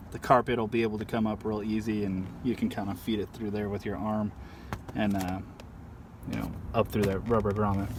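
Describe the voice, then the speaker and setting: A man giving a casual, unscripted do-it-yourself walkthrough in a laid-back, hesitant, self-effacing way, recorded outdoors around a pickup truck. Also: mid-range voice. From Southern California, lives there. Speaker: vlogger demonstrating a DIY truck install. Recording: noisy handheld outdoor vehicle recording. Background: clear outdoor and vehicle ambience.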